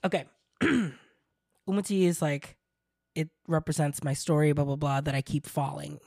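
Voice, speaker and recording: A woman's voice: low voice, vocal fry, a little mellow, no echo, clean studio sound. A woman co-hosting a casual reaction chat, jumping in eagerly and agreeing often. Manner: enthusiastic, quick to agree and chime in. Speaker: podcast co-host.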